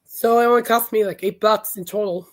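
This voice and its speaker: A woman hosting a podcast in casual rambling chat, her voice hoarse and raspy from being sick, telling stories with enthusiasm. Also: medium pitch, steady tone, brisk. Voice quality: raspy, worn throat. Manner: chatty, enthusiastic storytelling. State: hoarse, voice shot from illness. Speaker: podcast host.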